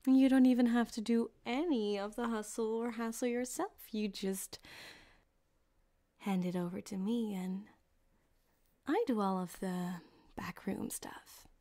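Woman acting a soft, slow, flirty cyberpunk back-alley surgeon woman. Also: on the high side, steady tone, no echo, lightly acted. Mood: coaxing, sly. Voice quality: soft, breathy, often slipping into whispers. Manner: teasing, unhurried, intimate, giggly. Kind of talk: ASMR roleplay. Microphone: close binaural microphone, dry.

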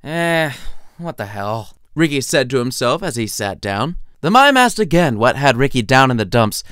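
A man's voice: medium pitch, big pitch swings, moderate pace, fairly bright tone, clear, resonant tone, no echo, clean studio sound. A man narrating a scripted story in a playful, wry, theatrical storytelling manner. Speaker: narrator and author.

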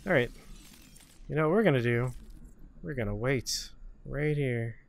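A man's voice: mid-range voice, unhurried, creaky. A man hosting a gaming show with casual gameplay commentary, talking to himself and to his opponents as he plays. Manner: talks to himself and to game enemies.

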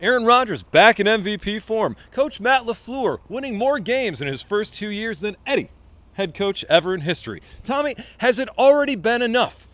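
A man's voice: sits high, lively intonation, moderate pace, fairly bright tone. A man in his thirties co-hosting a comedy sports show outdoors, stammering sheepishly in sketches and bouncing into presenter patter. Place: outdoors in snow beside a stadium.

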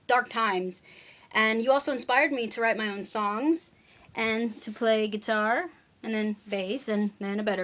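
A woman's voice: a little high, a bit bright. Earnest young woman fan message. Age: young adult. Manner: earnest, rambling with fillers. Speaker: fan sending birthday wishes. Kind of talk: casual fan video message.

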